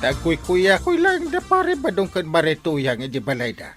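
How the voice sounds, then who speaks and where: A man's voice: a little high, big pitch swings, normal speed, over music. A man acting a grumbling village neighbour in a comedic radio drama, with an Ilocano accent.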